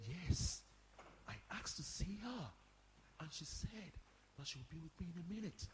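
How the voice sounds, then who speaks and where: A man acting in a radio play as a timid, hushed office worker. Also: a little high, very animated, normal speed, big-room sound, slight background noise, poor audio. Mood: hesitant. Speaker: actor in a community radio play. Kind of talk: radio drama performed before an audience.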